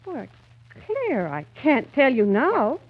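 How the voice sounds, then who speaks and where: An actress playing a flustered middle-aged wife, pleading and insistent, in 1930s radio acting style. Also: female voice, high voice, sing-song, takes it slowly, no echo, full character voice. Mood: insistent, self-justifying. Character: flustered middle-aged wife, plaintive yet stubbornly illogical. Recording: dull 1930s radio recording.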